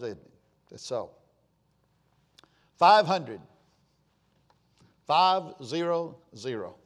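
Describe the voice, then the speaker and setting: A sixty-year-old man preaching a sermon as pastor, repeating phrases and swelling to emphatic peaks. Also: a little high. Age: 60s. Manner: slow, repetitive preaching with rising emphatic swells.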